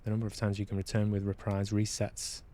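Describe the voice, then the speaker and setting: A man's voice: lowish voice, completely flat delivery, quick pace, mellow. A man hosting a live game stream, reading on-screen text aloud with casual asides. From England. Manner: casual asides between read-out passages. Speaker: game streamer and podcast host.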